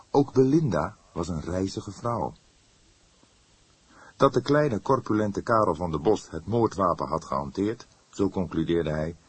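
Deep, husky male audiobook narrator. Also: no echo. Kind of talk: scripted narration of a detective novel.

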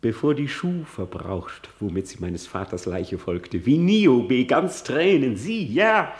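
An actor playing a grieving, bitter young prince in a classical radio drama, with deliberate declamation that moves between hushed and forceful. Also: male voice, medium pitch, big pitch swings. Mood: anguished, disgusted. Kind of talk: classical German stage-verse radio drama acting.

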